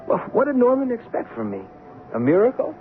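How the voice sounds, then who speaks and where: An actor playing a harried, diplomatic producer's assistant in an old-time radio drama, halting and wry. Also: male voice, very high voice, wildly swooping pitch, faint music behind, full character voice. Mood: wry exasperation. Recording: phone-like, noisy old radio recording.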